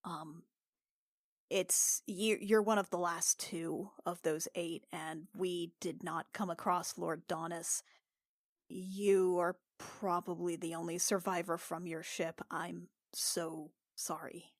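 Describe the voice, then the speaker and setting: A woman plays a kindly healer woman in a tabletop roleplay. She talks slowly and haltingly, awkward but gentle, recorded close and dry. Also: on the low side, little pitch movement, no echo. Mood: sympathetic. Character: gentle, awkward female healer who revives the dead.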